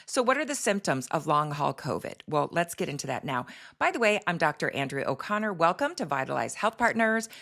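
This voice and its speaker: Middle-aged woman doctor hosting a health show; deep, slightly hoarse voice, loose and chatty delivery. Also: sing-song, fairly quick, hardly any pauses, a bit bright. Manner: chatty, explanatory, with fillers. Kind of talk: casual health talk to camera.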